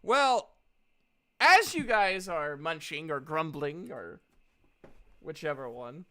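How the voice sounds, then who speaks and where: A woman's voice: deep voice, expressive, takes it slowly, fairly bright tone. A woman running a tabletop game, narrating casually with slangy, joking asides. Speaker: game master.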